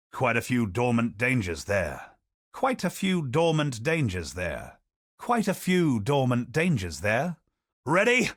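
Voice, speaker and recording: Knightly adventurer, game character, honor-bound, lively pitch swings, dry studio sound. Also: male voice, dry recording, full character voice. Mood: battle cries. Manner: hearty, honor-bound, theatrical battle cries. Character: bold male knightly treasure-hunting adventurer. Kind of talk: video game voice lines.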